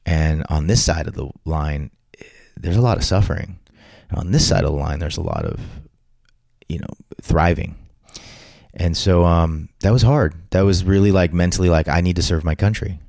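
A man's voice: deep voice, animated, moderate pace, gravelly, darkish tone, no echo, clean studio sound. A man, telling a personal story in a casual chat with lots of restarts. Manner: storytelling, frequent restarts and acted-out quotes. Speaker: podcast guest.

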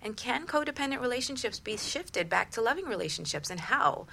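A woman's voice: fairly high pitch, lively intonation, fairly quick, vocal fry, pushed and bright. A woman co-hosting a talk radio show as a relationship coach, in a partly scripted presenting style.

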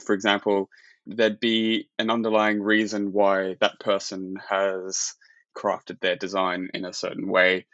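Low, flat-voiced man from Australia. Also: no echo, muffled recording. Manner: halting, many restarts and fillers. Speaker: UX designer, podcast guest. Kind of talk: casual interview talk.

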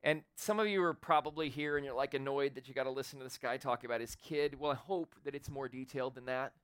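A man in his forties preaching a sermon in a church, loud and animated, building up intensity. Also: medium pitch, fast, clear, resonant tone, no echo. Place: church sanctuary with a congregation. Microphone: handheld or stage microphone.

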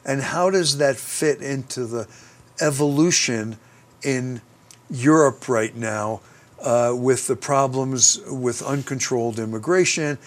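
A man's voice: medium pitch, slow, a little hiss or hum. A man from the United States hosting a conversational interview, talkative and emphatic, steering with leading questions. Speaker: interview host.

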